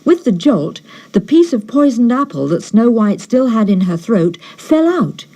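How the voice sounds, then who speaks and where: A woman narrating a fairy tale as an audiobook reader in an expressive storytelling style. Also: on the high side, measured pace.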